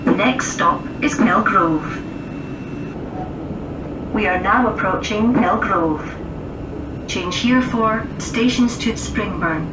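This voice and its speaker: A woman reads railway announcements formally, in slow and carefully separated phrases. Her voice comes from a distant train speaker, echoing under the loud noise of the carriage. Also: mid-range voice. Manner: measured, formal, segmented phrasing. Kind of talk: recorded railway passenger announcements. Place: aboard a moving passenger train. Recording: muffled, noisy, echoey train PA captured from afar. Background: loud train and rail noise.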